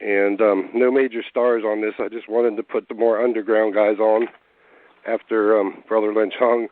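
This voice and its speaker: A hoarse man rambles easily through his news, with plenty of fillers. His voice comes through a tinny phone line with a dry, close sound. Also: fairly low pitch, level tone, moderate pace, no echo. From Cincinnati area. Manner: laid-back, rambling with fillers. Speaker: guest rapper. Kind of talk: casual interview over phone.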